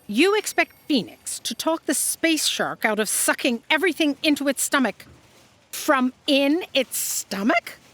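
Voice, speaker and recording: A woman's voice: sits high, big pitch swings, unhurried, a bit bright, heavily acted. A woman playing a pompous, impatient commanding officer in a comic sci-fi audio drama. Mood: imperious. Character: imperious, impatient female boss, coffee-craving and dismissive.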